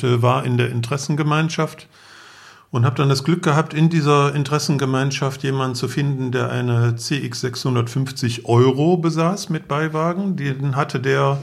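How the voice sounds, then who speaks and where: A middle-aged man shares detailed stories as a podcast guest. His mid-pitched voice has a clear creak and gravel to it. He keeps the telling informal and matter-of-fact. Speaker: podcast guest, motorcycle traveller.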